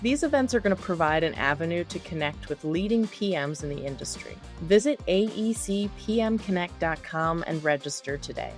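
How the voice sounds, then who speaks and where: An upbeat woman host reads the podcast intro and greets a guest. Her voice sits on the low side, and faint music plays underneath. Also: animated, fairly quick, hardly any pauses. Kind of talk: scripted intro, then casual interview.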